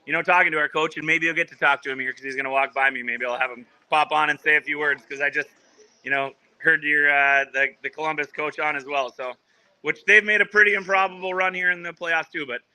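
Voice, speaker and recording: Bright-voiced man rambling about his club. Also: a little high, fairly even intonation, fast, clear, resonant tone. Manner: rambling, full of restarts and fillers. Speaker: soccer club official. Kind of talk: casual remote interview. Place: at a team training ground.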